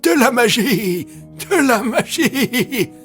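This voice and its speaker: A man's voice: very high voice, expressive, slow pace, fairly bright tone, faint music behind, pitched above the performer's own voice, full character voice. A man voicing a strange, crazed old hermit who obsessively repeats cryptic phrases in an audio story. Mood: manic, excited.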